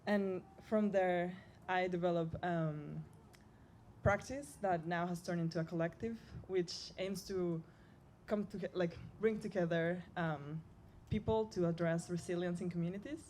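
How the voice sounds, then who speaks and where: A woman from Spain with a somewhat low voice speaks on a youth panel. She talks in a measured way and pauses with hesitant ums. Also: fairly even intonation, darkish tone, slight background noise. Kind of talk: panel introduction.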